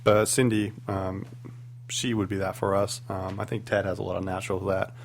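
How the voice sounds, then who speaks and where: Gravelly, hesitant male ghost hunter. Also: low voice, flat delivery, fairly quick, slight background noise. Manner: hesitant, candid, many "um"s. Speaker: paranormal investigator guest. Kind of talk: casual radio interview.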